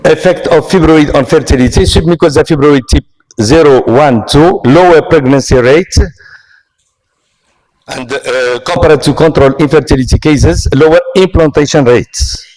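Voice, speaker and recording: Halting Moroccan-accented man lecturing on medicine. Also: fairly high pitch, a little mellow, rough recording. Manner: halting, with frequent pauses and hesitations. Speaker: physician giving a conference lecture. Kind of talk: medical presentation. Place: online conference talk.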